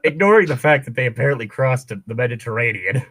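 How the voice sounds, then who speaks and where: A man's voice: medium pitch, expressive, fast, background noise. A man co-hosting a casual fan fiction read-along, riffing with wry asides as he reads. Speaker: co-host of a fan fiction reading show.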